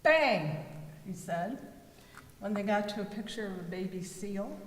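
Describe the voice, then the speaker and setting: A woman reads a story slowly in readers theater style, with lively swings in pitch. She is heard from far off in a reverberant hall. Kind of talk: scripted storytelling narration. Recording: distant echoey hall, faint noise.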